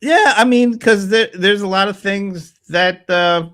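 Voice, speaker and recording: A man in his fifties in casual panel chat, thinking aloud in a halting way and repeating words. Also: high voice, sharp tone. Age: 50s. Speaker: panelist on a small business show.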